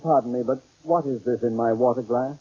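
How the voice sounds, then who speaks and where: An actor playing a genial, blustery older boss in an old radio sitcom, jovial and expressive. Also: male voice, medium pitch, a little fast, breathy edge, natural voice. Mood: wry, put-upon. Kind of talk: 1940s-50s radio sitcom acting. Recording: muffled, hissy old radio recording.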